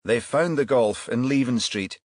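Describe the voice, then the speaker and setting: A man voicing a steady, decisive senior male detective in an audiobook, terse and businesslike. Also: medium pitch, a little slow, dry recording, studio quality, voice pushed up, lightly acted. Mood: matter-of-fact. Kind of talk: audiobook character dialogue.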